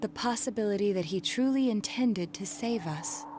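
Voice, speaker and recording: An actress playing a young detective in a video game, with precise, formal, analytical phrasing. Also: female voice, medium pitch, normal speed, darkish tone, a little hiss or hum, close to an everyday voice. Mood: reasoning calmly. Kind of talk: English video game dub acting. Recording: game music behind the voice.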